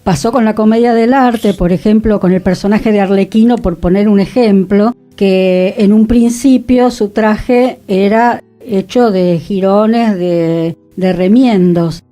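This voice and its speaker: A woman explains her points fluently in a mellow, warm voice, co-hosting a radio discussion. Faint music sits underneath her. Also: mid-range voice, fairly even intonation, measured pace, hardly any pauses. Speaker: radio show co-host.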